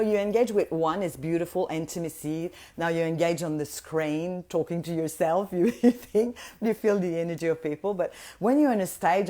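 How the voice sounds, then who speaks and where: French lady gushing through a motivational talk, kinda low voice, keeps restarting her sentences. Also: mellow. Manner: gushing and effusive, with frequent restarts. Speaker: guest speaker and mentor. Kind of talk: casual motivational talk to an online audience.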